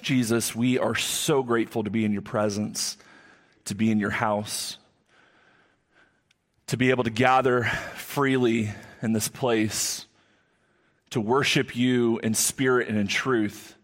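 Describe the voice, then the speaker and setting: Booming-voiced male pastor preaching. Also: fairly even intonation, measured pace. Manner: earnest, repeats key phrases for emphasis. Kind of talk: sermon and prayer. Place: church sanctuary. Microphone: live amplified microphone.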